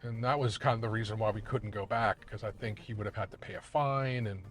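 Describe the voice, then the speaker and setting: A man, a rideshare driver hosting, telling family history in a relaxed, casual way with fillers. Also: lowish voice, fairly quick, music in the background. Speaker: rideshare driver and podcast host. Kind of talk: casual conversation. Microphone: car interior mic.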